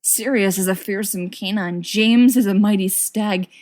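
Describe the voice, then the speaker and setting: A woman reading a story aloud as an expressive scripted narration. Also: medium pitch, measured pace. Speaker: audiobook reader of fan fiction.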